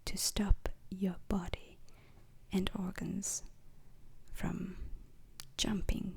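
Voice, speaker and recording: Woman, breathy whisper, slow pace, flat intonation, ASMR roleplay lecture, calm formal instructor, deliberate pauses. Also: mid-range voice. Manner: slow, deliberate, pausing between short phrases. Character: calm, formal instructor briefing space crew trainees.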